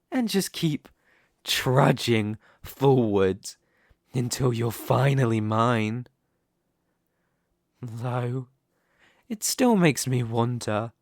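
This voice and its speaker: A male actor voices a seductive male demon king in an intimate ASMR roleplay. He takes his time over each phrase, patient and possessive, with smug condescension. His mid-pitched voice sits close to the microphone in a very dry space. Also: a little mellow, no room sound, heavily acted. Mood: taunting, patient. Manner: slow, deliberate, teasing, condescending. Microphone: close studio microphone, dry.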